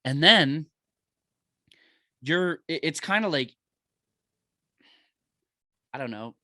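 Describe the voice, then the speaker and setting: A man co-hosting a podcast in casual chat, animated and saying "bro" and "dude" a lot. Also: fairly high pitch, takes it slowly, no room sound, studio quality. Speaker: podcast co-host.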